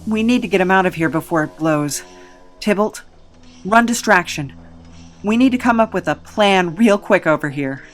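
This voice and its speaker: Woman playing an animated, exasperated reluctant hero. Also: fairly low pitch, moderate pace, soft background music, lightly acted. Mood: urgent. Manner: sarcastic, urgent. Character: exasperated, take-charge reluctant hero with strange powers. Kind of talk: comic audio drama.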